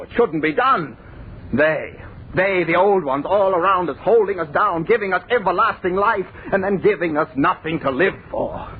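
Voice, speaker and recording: An actor playing a bitter, world-weary immortal of a future world in an old radio horror drama, with a condescending, impassioned, theatrical delivery. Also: male voice, fairly high pitch, natural voice. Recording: muffled, noisy old radio recording.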